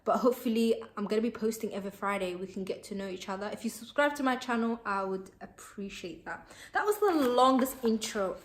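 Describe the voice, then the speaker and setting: A bubbly young woman with a lilt talks straight to her camera, chatty and full of "like" and "um". Her is Somali, and she sounds relaxed and personal, as if speaking to her followers. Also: medium pitch, a little fast. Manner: bubbly and chatty, many fillers. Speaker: vlogger. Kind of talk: casual chat to camera.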